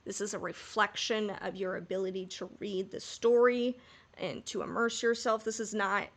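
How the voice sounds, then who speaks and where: A woman's voice: mid-range voice, fairly even intonation, normal speed. A woman co-hosting a podcast in casual fan discussion, speaking candidly and emphatically, with the odd casual swear. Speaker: podcast host.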